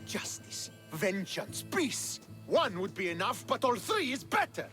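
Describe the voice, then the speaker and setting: A man's voice: high-pitched, big pitch swings, a little slow, faint music behind, heavily acted. A man playing an embittered, vengeful young Spartan commander in a video game, with an intense, contemptuous delivery. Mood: furious, anguished. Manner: intense, contemptuous, swinging from hushed menace to shouting. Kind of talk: dramatic video game cutscene acting.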